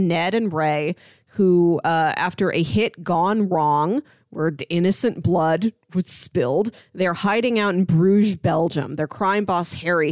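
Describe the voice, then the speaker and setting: A woman's voice: on the low side, darkish tone, no echo, studio quality. A woman co-hosting a podcast, talking through films in a casual, conversational style. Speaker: podcast co-host. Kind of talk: casual film discussion podcast.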